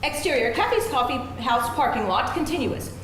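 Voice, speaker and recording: A woman's voice: high voice, sing-song, brisk, fairly bright tone. A woman reading screenplay stage directions aloud as scripted narration at a live event. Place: live event room with audience. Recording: very echoey room, faint noise.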